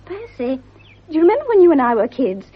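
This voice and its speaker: An actress playing a kindly, confident young gentlewoman, polite and coaxing, in a 1950s British radio drama. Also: high voice, big pitch swings, quick pace. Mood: friendly, concerned. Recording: muffled, slightly noisy vintage radio recording.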